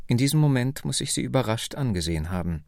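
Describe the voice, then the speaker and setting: A man reading a novel aloud for radio, slow and expressive. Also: mid-range voice, creaky, no room sound, clean studio sound. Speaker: reader.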